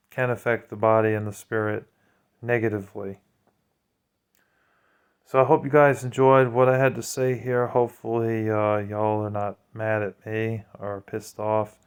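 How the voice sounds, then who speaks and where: A man's voice: fairly low pitch, clear, resonant tone. A man speaking casually and earnestly to viewers as a vlogger, giving admonishing religious testimony.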